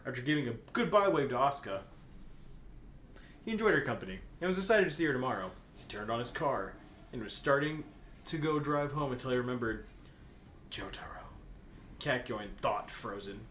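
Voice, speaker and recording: A man's voice: medium pitch, a bit bright. A man reading a story aloud in a comedic, casual way, breaking off for joking, mocking asides. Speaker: co-host reading fan fiction aloud. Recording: echoey room, faint background noise.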